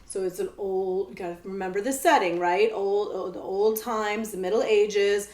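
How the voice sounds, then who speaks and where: A woman librarian reading a children's story aloud, pausing for casual explanations. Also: mid-range voice, fairly even intonation, darkish tone. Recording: echoey room sound.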